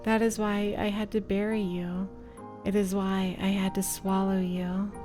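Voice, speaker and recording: Woman performing a slow, obsessive lovesick witch. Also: mid-range voice, flat delivery, warm tone, faint music behind, natural voice. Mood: menacing, intimate. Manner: slow, deliberate, formal. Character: lovesick obsessive witch, possessive and menacingly tender. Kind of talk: dramatic visual novel reading.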